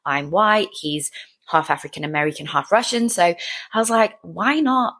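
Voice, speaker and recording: A woman's voice: low-pitched, animated, moderate pace, fairly bright tone. A woman of about forty chatting casually on her own as a fitness trainer, rambling along in an upbeat, encouraging way. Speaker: fitness trainer and business owner hosting a show. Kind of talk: casual solo chat, personal update.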